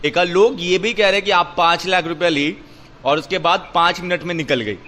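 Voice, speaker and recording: A man's voice: high-pitched, normal speed, sharp tone. A man asking questions as an interviewer in a casual conversation, recorded from a phone call. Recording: phone call with faint noise.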